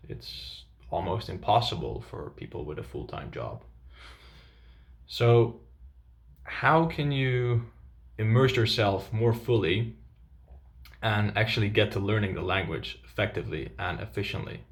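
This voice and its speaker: A young Dutch-speaking man talks viewers through his language-learning tips in a bright, list-like way. His voice sits a little low. He sounds like a coach presenting to his channel. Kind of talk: scripted video lesson.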